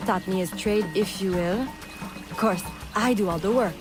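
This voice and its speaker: A woman's voice: mid-range voice, normal speed, over music, natural voice. Woman acting a brash, wry female smuggler. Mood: wry, frank. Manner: frank, confident, wry. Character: brash, loyal female smuggler, plain-spoken. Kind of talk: dramatic video game acting.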